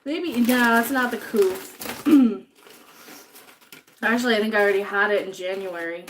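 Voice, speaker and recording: A woman's voice: mid-range voice, normal speed, a little hiss or hum. A woman chatting casually on a livestream while she cooks in her home kitchen, sniffly from chopping jalapenos and reading viewer comments aloud. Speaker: livestream host cooking.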